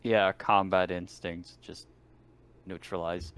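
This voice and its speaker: A man's voice: sits low, little pitch movement, takes it slowly, fairly bright tone, clean tone. A man chatting casually as a player in a tabletop roleplaying game. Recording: faint noise, clicks.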